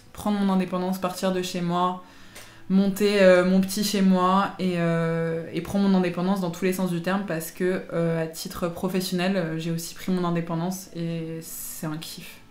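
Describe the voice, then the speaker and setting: A woman's voice: on the low side, echoey room. A young adult woman chatting casually with her livestream viewers in informal, slangy, teasing speech. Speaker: live streamer chatting with viewers.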